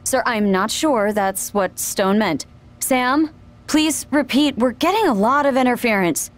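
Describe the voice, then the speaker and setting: A woman's voice: a little high, lively intonation, measured pace. A woman playing a serious military operations officer in a game cutscene, formal and urgent. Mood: concerned.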